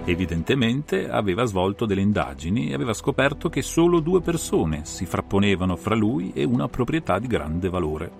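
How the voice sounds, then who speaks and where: A man performing an analytical gentleman detective recounting a solved case in a dramatized audiobook, with measured, theatrical phrasing. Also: fairly low pitch, sing-song, vocal fry, faint music behind. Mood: measured, explanatory. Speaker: audiobook reader and interpreter.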